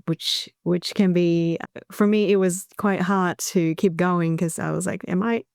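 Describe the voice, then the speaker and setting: Woman, 30s, from Taiwan, smooth low voice, thoughtful, dry recording. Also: fairly even intonation, unhurried, dry recording. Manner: soft-spoken, laughs easily, thoughtful. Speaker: singer-songwriter guest. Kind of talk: relaxed interview chat.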